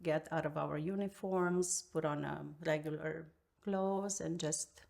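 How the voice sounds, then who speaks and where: A Romanian-accented woman in her fifties recalls her past slowly and reflectively. Her voice is low and gravelly, and she pauses often to search for words. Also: steady tone, a little mellow. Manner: halting, reflective, many pauses and fillers. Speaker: guest. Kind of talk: interview storytelling.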